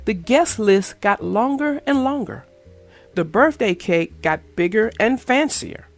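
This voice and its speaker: A woman reading a children's picture book aloud in an expressive, sing-song storytelling manner. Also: a little high, a little slow, soft background music. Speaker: storybook reader. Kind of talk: children's read-aloud narration.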